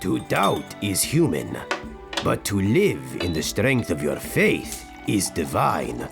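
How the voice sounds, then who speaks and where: Venerable kindly rabbi, elderly, low and very animated, oracular delivery for audio drama. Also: male voice, gravelly, music in the background, exaggerated voice. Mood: grave, reassuring. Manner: slow, gentle, oracular. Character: venerable old Hebrew rabbi, wise and kindly.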